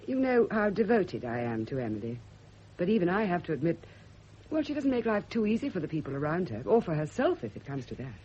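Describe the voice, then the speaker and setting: Female for devoted, genteel spinster in radio play; breathy voice, lively intonation, earnest manner. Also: on the low side, fast. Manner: polite, earnest, hesitant. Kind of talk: classic radio drama acting. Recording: muffled old radio recording.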